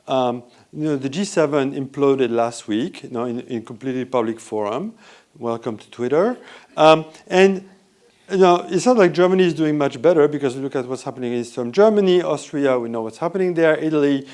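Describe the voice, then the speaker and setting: A man with a French accent giving a lively, conversational conference talk and often asking the audience questions. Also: mid-range voice, brisk. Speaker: conference speaker. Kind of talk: live conference presentation. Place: hotel event room.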